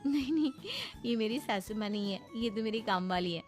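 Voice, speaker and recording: A woman's voice: mid-range voice, lively intonation, brisk, soft background music, lightly acted. A woman voicing a bossy, snobbish young modern daughter-in-law in a cartoon, curt and commanding.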